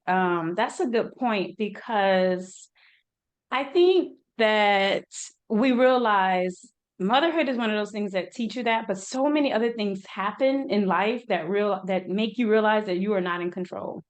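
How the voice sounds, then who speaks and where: A woman's voice: medium pitch, lively intonation, a little slow. A woman hosting a podcast, speaking in a casual, conversational interview style.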